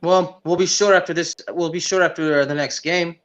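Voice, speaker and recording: A man co-hosting a sports podcast in casual chat, haltingly repeating words as he goes. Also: on the high side, fairly even intonation, a bit bright, lo-fi sound.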